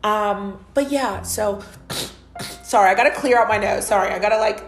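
A woman's voice: mid-range voice, moderate pace, gravelly, soft background music. A woman in her thirties hosting a solo podcast, rambling casually with frequent swearing and laughter, her nose stuffed up and slightly nasal. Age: 30s. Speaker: podcast host and psychic medium.

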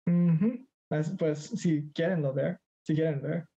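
A man's voice: on the high side, takes it slowly, dark tone, husky, highs cut off. A teenage boy from Mexico in casual conversation as a guest, hesitant and searching for words, slipping into English now and then. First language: Spanish. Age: teens.

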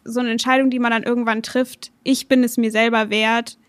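A young woman hosting a podcast, talking in a relaxed, rambling way and laughing easily. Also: fairly high pitch, brisk, no echo. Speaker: podcast host. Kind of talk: casual podcast chat.

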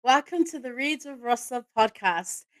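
A woman's voice: sits high, animated, moderate pace, no room sound. A woman hosting a casual interview podcast, enthusiastic and speaking to her guest in a familiar, sisterly way. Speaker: podcast host.

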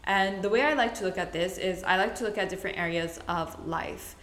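Woman from the middle east chatting on her podcast, lowish voice, rambles and fixes herself a lot. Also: a little fast, a bit bright. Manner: chatty, rambling, self-correcting. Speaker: podcast host. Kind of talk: casual solo podcast chat. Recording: roomy echo.

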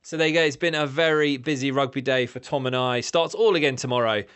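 A man's voice: mid-range voice, quick pace, a bit bright, clean tone. Upbeat man presenting a sports show. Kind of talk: scripted presenting.

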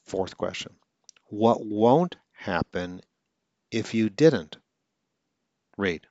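A very deep-voiced man talks through a coaching podcast in an earnest way, with occasional stutters and restarts. The sound is dry and close, with a slightly dull, lo-fi quality. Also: lively intonation, extremely slow, dark tone, no echo. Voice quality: stutters now and then. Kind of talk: instructional podcast monologue.

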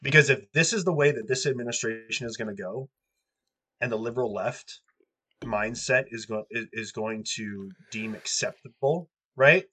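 Man, podcast host, raspy voice, stuffed-up and sniffly, angry cursing rant. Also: mid-range voice, normal speed, sharp tone. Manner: angry, profane ranting. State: sniffly from allergies. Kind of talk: ranting podcast talk. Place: cold house.

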